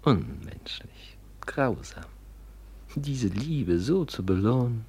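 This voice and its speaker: A man's voice: mid-range voice, expressive, slow, slightly rough, exaggerated voice. An actor playing a scheming, honey-tongued younger nobleman in a theatrical, insinuating manner in a classic German radio drama. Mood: mock pity. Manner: theatrical, insinuating, swinging from hushed to exclaiming.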